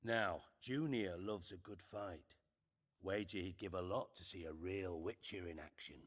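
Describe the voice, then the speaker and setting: A man's voice: deep voice, a little slow. An actor playing a scheming, sardonic male spymaster in a game cutscene, delivering dry, menacing lines.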